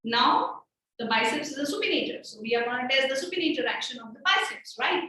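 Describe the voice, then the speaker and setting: A high-voiced woman teaching a hands-on demonstration, checking in often with "okay" and "right". Her voice sounds far from the mic and echoes heavily around the room. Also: expressive, fast, bright, forceful tone, slight background noise. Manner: explains step by step, checking with frequent "okay" and "right". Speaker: medical teacher. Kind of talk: live clinical demonstration.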